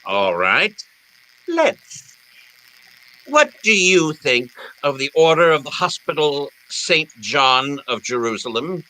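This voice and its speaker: An actor playing a pompous, scheming fat crime boss in an old-time radio drama, speaking in florid, elaborately courteous phrases. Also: male voice, on the high side, big pitch swings, slow pace, sharp tone, exaggerated voice, rough recording. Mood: grandly expansive.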